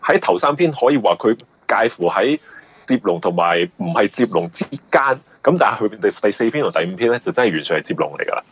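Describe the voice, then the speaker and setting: A man with a Hong Kong Cantonese accent giving conversational interview answers, explaining things haltingly and often repeating words. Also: fairly low pitch, big pitch swings, slightly rough, no echo. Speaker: guest, mystery novelist. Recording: muffled, band-limited radio interview audio.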